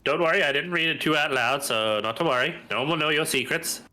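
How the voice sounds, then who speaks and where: A man's voice: fairly high pitch, fast, sharp tone. A man with a stuffy nose from hay fever, chatting casually on a live stream and thinking aloud as he browses. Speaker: stream co-host.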